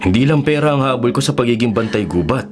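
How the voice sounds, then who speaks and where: A man playing a stubborn, devoted forest ranger husband, earnest and calmly insistent, in a Filipino radio drama. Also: mid-range voice, fast, over music, lightly acted. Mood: exasperated, earnest.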